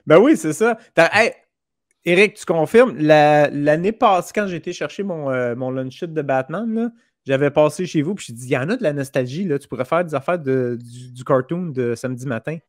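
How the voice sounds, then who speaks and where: A man's voice: fairly high pitch, lively intonation, normal speed, no echo, studio quality. A man with a Quebec French accent in a casual group chat, colloquial and bantering as a panelist. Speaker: talk show panelist.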